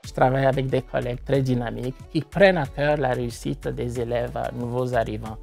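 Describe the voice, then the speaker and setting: A man from Togo who teaches math and science, speaking in an interview with hesitant pauses and fillers. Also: medium pitch, steady tone, vocal fry, a little mellow, faint music behind. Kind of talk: interview testimony.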